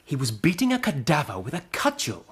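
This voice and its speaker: An actor playing an easygoing, chummy Victorian English medical student in a British radio drama. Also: male voice, a little high, wildly swooping pitch, a little fast, slightly rough, heavily acted. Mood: breezy. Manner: chummy, breezy, old-fashioned upper-class phrasing.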